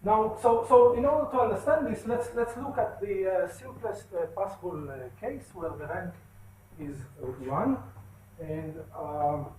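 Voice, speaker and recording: A man giving a technical seminar lecture in a seminar room, with a soft voice, halting speech and many fillers. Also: high voice, a little hiss or hum, low-quality recording.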